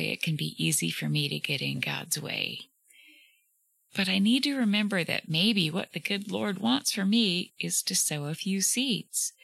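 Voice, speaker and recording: A woman hosting a reflective solo podcast, talking gently with a soothing voice and frequent fillers. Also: on the low side, vocal fry, no room sound. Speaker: podcast host.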